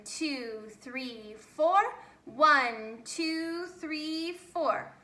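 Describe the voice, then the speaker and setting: A woman storyteller reading a children's story aloud in a deliberate, expressive way. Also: sits high. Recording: roomy, echoey home recording.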